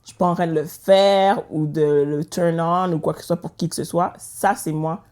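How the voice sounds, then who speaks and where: A woman with a Québécois accent speaks in a slightly low voice during a casual podcast conversation. She asks her questions directly and drops English words into her talk. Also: fairly even intonation, normal speed, darkish tone. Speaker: podcast co-host. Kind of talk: casual chat.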